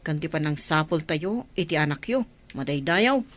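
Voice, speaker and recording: A woman's voice: low-pitched, animated, moderate pace, a little hiss or hum. A woman playing a respectful female attendant to a chief in an Ilocano radio drama. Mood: deferential.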